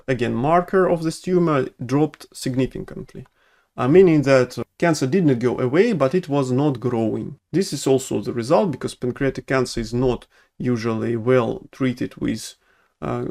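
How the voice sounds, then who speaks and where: Non-native English-speaking male doctor, halting explainer with many "uh"s, mid-range voice. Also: medium pitch. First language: not English. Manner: hesitant, many fillers and restarts. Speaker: oncologist. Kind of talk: educational medical explainer.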